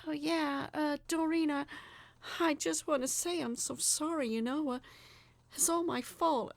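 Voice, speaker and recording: A woman's voice: high voice, steady tone, a little slow, creaky, dry recording, pitched above the performer's own voice. A woman voicing a nervous, love-struck young man who stammers and rambles hesitantly in a story. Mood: flustered, apologetic.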